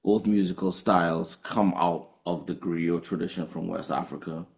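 A man's voice: deep voice, fairly even intonation, normal speed, gravelly, darkish tone. A man with a Trinidadian accent, a touring jazz musician answering interview questions earnestly, often restarting his phrases.

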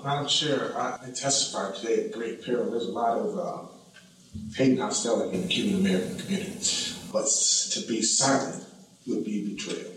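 A man born in Cuba giving measured, earnest testimony at a hearing, with occasional hesitations. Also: fairly low pitch, a bit bright. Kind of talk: formal prepared testimony. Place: hearing room. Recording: echoey room, voice from far off mic.

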